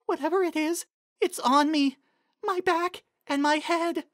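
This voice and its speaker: A performer voicing an excitable, easily frightened young female pony in a fantasy story reading. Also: very high-pitched, unhurried, no room sound, studio quality, pitched above the performer's own voice.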